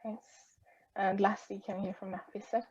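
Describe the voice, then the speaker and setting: A woman hosts an online panel over a video call, speaking in an easygoing, rambling way full of fillers. Her voice is mellow and relaxed, and the call audio comes through dull and lo-fi. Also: medium pitch, normal speed. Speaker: panel moderator.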